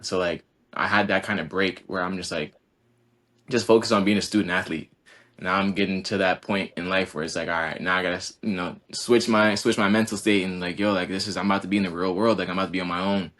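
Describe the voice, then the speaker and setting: A young man in his twenties with a deep, low-set voice. He chats casually and earnestly, stringing thoughts together with endless "like"s and restarts. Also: fairly even intonation, quick pace, fairly bright tone. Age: 20s. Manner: rambling, heavy use of "like", earnest. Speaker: college basketball player, guest. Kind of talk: casual podcast conversation.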